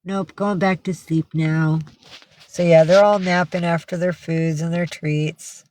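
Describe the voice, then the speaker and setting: A woman's voice: fairly low pitch, fairly even intonation, measured pace, darkish tone. A woman hosting a casual livestream from home, talking in a rambling, blunt and sweary way. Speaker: livestream host. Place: indoors at home with cats.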